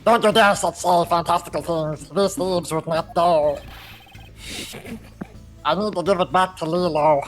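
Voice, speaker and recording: A man voicing a fussy, indignant male art dealer in a video game, with shrill, outraged outbursts and a gravelly voice. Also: fairly even intonation, a little slow, long pauses, fairly bright tone, faint music behind, poor audio.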